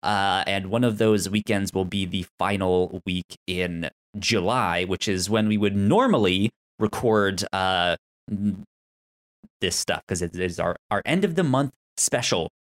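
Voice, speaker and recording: Halting male podcast host with stammered syllables. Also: deep voice, fairly bright tone. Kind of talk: casual podcast chat.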